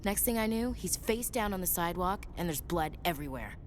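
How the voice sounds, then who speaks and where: A woman's voice: on the low side, expressive, brisk, a little hiss or hum, lightly acted. A woman playing a tough, candid soldier and protective big sister in a video game, frank and conversational. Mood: earnest, proud. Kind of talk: naturalistic video game dialogue acting.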